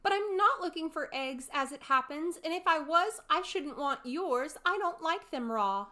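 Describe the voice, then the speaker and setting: A woman voicing a polite, puzzled little girl from a storybook, earnest and quick to protest. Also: expressive, bright, forceful tone. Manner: earnest, high-pitched childlike delivery. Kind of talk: storybook character voice.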